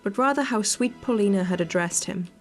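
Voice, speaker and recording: A woman's voice: medium pitch, brisk. A woman hosting a visual novel playthrough, narrating the text and adding casual commentary. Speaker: gaming video host. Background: game music.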